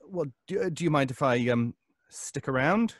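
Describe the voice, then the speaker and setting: Anxious fussy gentleman, halting stammer, rather high swooping voice, vintage radio suspense style. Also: expressive, no room sound, studio quality. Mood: polite, hesitant. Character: anxious, fussy, hesitant male stage actor neighbour. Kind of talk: old-time radio suspense acting.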